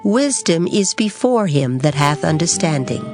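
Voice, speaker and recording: Expressive woman reading scripture over music. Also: sits low, unhurried. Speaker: scripture reader.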